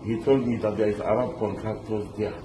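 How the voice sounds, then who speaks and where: A middle-aged man from Lebanon telling his story in an animated, halting way, restarting often and mixing in pidgin words. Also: lowish voice, background noise. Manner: excited, halting, many restarts. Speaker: interviewee recounting a kidnapping. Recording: echoey with loud street ambience.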